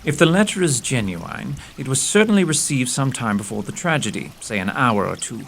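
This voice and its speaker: A man playing a shrewd, logical gentleman detective in an audio drama, precise, formal and inquisitive. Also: mid-range voice, expressive, fairly quick, vocal fry, slight background noise. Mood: analytical, brisk. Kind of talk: audio theatre acting.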